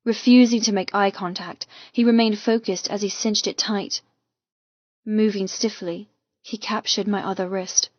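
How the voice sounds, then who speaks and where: A woman reading a first-person romance audiobook with intense, expressive, dramatic delivery. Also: mid-range voice, measured pace, no echo, lo-fi sound. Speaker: audiobook narrator.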